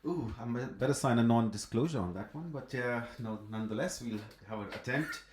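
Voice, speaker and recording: A man's voice: medium pitch, brisk, roomy sound. A man from Pakistan, a podcast guest telling personal stories conversationally in a front room. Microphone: improvised iPhone setup.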